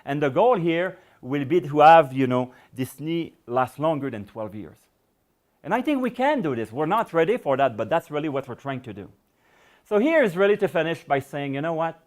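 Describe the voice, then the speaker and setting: A middle-aged man giving a public science lecture and taking questions, talking in a loose, rambling way with many fillers, his voice going hoarse. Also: on the high side, sing-song, moderate pace. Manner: rambling, many "you know" fillers and restarts.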